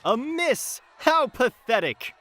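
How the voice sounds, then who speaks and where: An actor playing a mocking, theatrical villain who drawls taunts and barks orders in a fantasy audio drama. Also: male voice, extremely high pitch, very animated, slow pace, pushed and bright, slight background noise, heavily acted. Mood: loud fury. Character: mocking, theatrical young male villain commanding brutish henchmen.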